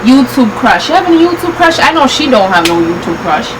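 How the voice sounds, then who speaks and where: A woman's voice: fairly high pitch, fairly quick, distant mic, noisy background. Young woman, faint Jamaican accent. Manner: relaxed, giggly, light Jamaican touches. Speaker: co-host, daughter. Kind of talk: casual chat.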